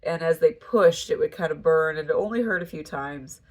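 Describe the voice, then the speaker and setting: Brief: young adult woman, lowish voice, stuffy nasal congestion, tired casual vlog talk. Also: moderate pace. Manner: tired, relaxed recovery chat. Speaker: farm vlogger.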